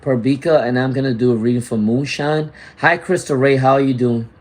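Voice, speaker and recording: Tarot reader checking in with "all right?". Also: male voice, mid-range voice, fairly even intonation, a little fast, darkish tone. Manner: reads out viewer comments and greets chatters. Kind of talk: live-streamed tarot reading.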